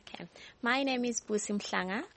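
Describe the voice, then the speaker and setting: A young woman from Zimbabwe, a studio guest, speaking hesitantly with many pauses. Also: a little high, dry recording. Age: young adult.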